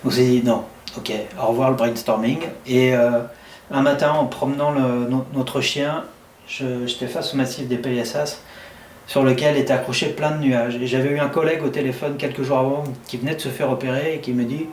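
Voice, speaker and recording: A middle-aged man from France, a farmer telling a relaxed, anecdotal story in casual conversation. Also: medium pitch, fairly quick. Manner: easygoing storyteller, frequent fillers. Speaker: interviewee, distillery farmer. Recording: roomy and distant, faint background noise.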